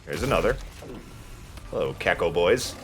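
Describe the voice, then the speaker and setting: A man's voice: sits low, lively intonation, normal speed, background noise. A man giving casual, joking and profane commentary while playing a video game. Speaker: let's play host playing the game. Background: game music behind the voice.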